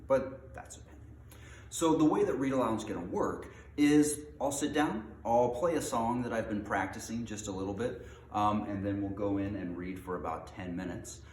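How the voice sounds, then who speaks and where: A man's voice: on the low side, a little mellow. A man reading aloud in an informal, unpolished way, as the host of a read-aloud. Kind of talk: reading a storybook aloud with casual introduction. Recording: echoey room with faint noise.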